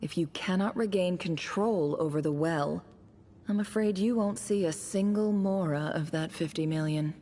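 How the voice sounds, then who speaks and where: Woman acting smug, low-voiced scheming ambassador. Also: normal speed, mellow, close to an everyday voice. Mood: coolly threatening. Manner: formal, condescending, silky menace. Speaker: game voice actor. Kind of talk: dramatic video game voice acting. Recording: music under the voice. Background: game music.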